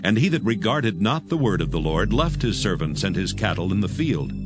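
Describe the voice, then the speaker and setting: A man's voice: on the low side, sing-song, fairly quick. A man narrating a dramatized scripture reading in a solemn, scripted style. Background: music.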